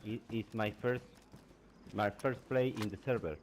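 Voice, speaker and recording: A man with an Argentine Spanish accent, Spanish his first tongue, speaks simple English through a headset in game voice chat. He pieces sentences together slowly and repeats short phrases. A light hiss of noise sits under his voice. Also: fairly low pitch. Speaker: new player on a game server.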